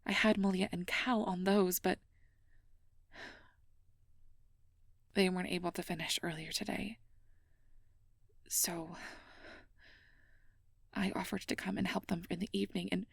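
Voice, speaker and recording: A woman playing a stressed, self-blaming young air mage who confides haltingly and half-whispered in an intimate ASMR role-play. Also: on the low side, fairly even intonation, gravelly, slightly rough, dry recording, clean studio sound. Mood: frustrated, nervous.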